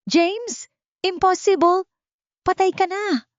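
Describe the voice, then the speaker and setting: A scheming, wealthy young villainess, voiced by a woman narrator in an audiobook drama. She speaks melodramatically, pitched high. The recording is dry and a little muffled. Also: slow, no room sound. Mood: shrieking terror. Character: scheming rich young woman, sweet-talking heiress turned shrieking villain.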